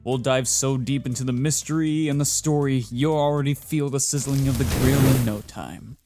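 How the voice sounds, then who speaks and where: A man's voice: medium pitch, fairly even intonation, moderate pace, dark tone. A man narrating a scripted facts video in a chatty, theatrical way, with playful asides. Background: background music.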